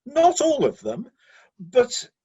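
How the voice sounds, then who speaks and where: A man's voice: extremely high pitch, huge pitch swings, takes it slowly, mellow, slightly rough, full character voice. A man acting in a radio play as a candid, doubtful heavenly aide, deferential and matter-of-fact toward the one in charge. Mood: puzzled, concerned.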